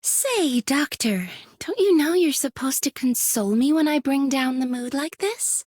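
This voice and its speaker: A woman voicing a wistful, gently teasing young woman in a video game. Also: high voice, lively intonation, unhurried, dry recording, studio quality. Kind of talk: scripted game character voice line.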